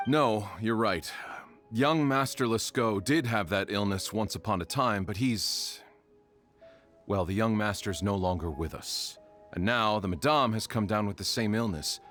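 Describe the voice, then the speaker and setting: A man playing a sombre, courteous family doctor in a video game, speaking in a formal, measured, grave way. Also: on the low side, fairly bright tone, faint music behind. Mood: sorrowful.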